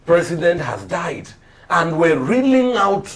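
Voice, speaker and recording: A man's voice: a little high, very animated, measured pace, a crowd in the background. A man with a Nigerian accent speaks on a political discussion panel. He tells his points like stories, emphatically, stopping and repeating phrases to drive them home. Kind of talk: political discussion, storytelling commentary.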